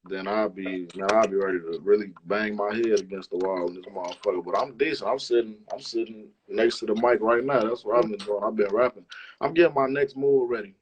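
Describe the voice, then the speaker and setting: A man's voice: fairly low pitch, a little fast, breathy edge, highs cut off. A man chatting casually as an interview guest, using a lot of slang and swearing. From Chicago, United States. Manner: slangy, profane. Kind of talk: casual chat.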